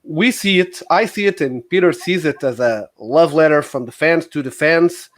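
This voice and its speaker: Higher-pitched man hosting a stream. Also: normal speed. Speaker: livestream host, interviewer. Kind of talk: casual interview chat.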